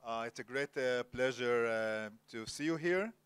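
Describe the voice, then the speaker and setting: Moderatore israeliano, uomo, formale ed esitante, registro medio. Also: male voice, medium pitch, fairly bright tone, smooth. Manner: formal, many filler pauses. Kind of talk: onstage literary interview. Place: cultural center event hall, Jerusalem.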